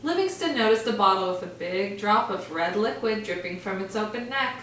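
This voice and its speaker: A woman teacher reading a picture book aloud to children in a gentle, expressive storytelling manner. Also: mid-range voice, normal speed, slight background noise. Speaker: first grade teacher. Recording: echoey room, far from mic.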